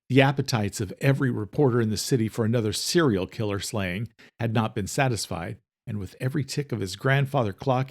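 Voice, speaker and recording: A man reading scripted thriller narration in a measured, deliberate, dramatic storytelling style. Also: mid-range voice, a little mellow. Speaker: author and audiobook narrator.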